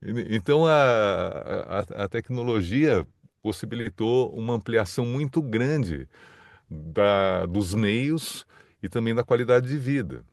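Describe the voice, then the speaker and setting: A man's voice: lowish voice, expressive, slow, dry recording, studio quality. A man from São Paulo, Brazil, answering interview questions in a thoughtful, explanatory way, with small restarts and the odd laugh. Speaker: guest, communication scholar.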